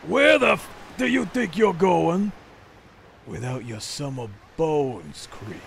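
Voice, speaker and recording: A man's voice: a little high, expressive, takes it slowly, gravelly, a bit bright, a little hiss or hum, heavily acted. A man playing a grumpy, sardonic skeleton royal guard captain in a comic dub. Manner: dry, sardonic. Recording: music behind the voice.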